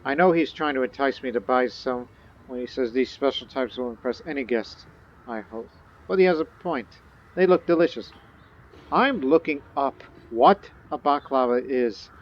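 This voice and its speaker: A man's voice: medium pitch, normal speed, muffled recording. A man thinking aloud and reading game text in casual, rambling gameplay commentary. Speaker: gaming video host.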